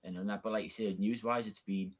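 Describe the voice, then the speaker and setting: Very deep, flat, husky male co-host. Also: brisk. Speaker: podcast co-host. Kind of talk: casual podcast chat.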